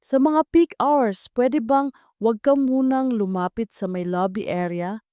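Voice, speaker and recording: Woman doing young office girl in a love drama, hesitant n guarded, soft dark tone. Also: a little high, unhurried, clear, resonant tone, dry recording, natural voice. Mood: cold, hesitant. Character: ambitious young resort employee, ashamed, politely evasive woman. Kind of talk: Tagalog audio drama dialogue.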